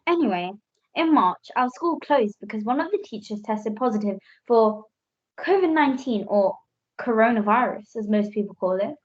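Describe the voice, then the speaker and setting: Young woman playing a mum explaining stuff in a radio play, highish voice, muffled lo-fi sound. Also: lively intonation, moderate pace, close to an everyday voice. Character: patient, correcting mother telling her child history. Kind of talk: amateur radio play acting. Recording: dull lo-fi home recording.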